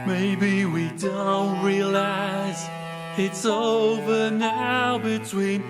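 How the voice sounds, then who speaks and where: A woman's voice: medium pitch, level tone, very slow. A woman singing a pop ballad with music behind her. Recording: long reverb, music backing.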